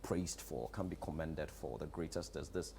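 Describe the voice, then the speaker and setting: A man's voice: extremely low pitch, normal speed, gravelly, a little mellow, a little hiss or hum. A man with a Ghanaian accent speaking as an impassioned, emphatic guest in a talk show interview. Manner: emphatic, repeats rhetorical questions. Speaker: theatre practitioner, studio guest. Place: TV studio.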